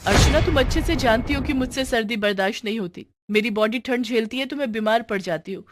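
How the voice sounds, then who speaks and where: A woman's voice: on the high side, a little fast, no room sound, clean studio sound, soft background music, natural voice. A scheming, work-shy young wife as a woman storyteller acts her wheedles in a slightly raised voice. She is theatrical and self-pitying, like a pampered character in a cartoon moral tale. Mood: indignant whining. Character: sly, lazy young elder daughter-in-law feigning illness.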